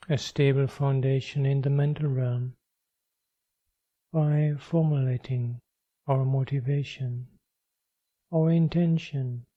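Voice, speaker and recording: A man leading a guided meditation as a teacher, speaking calmly and in measured short phrases with long pauses. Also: mid-range voice, no room sound, lo-fi sound.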